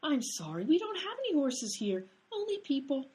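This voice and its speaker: A woman's voice: high-pitched, big pitch swings, normal speed, darkish tone. A woman playing a ditzy, literal-minded hospital nurse with a naive manner in an absurd radio comedy sketch. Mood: ditzy, oblivious. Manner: ditzy, naive, swooping delivery.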